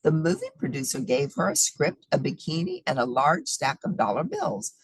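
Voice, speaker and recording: A woman reads a children's picture book aloud, swinging her low voice widely. It is breathy and recorded close in a dry space. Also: normal speed, dry recording, low-quality recording. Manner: expressive, with playful asides. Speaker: storybook reader.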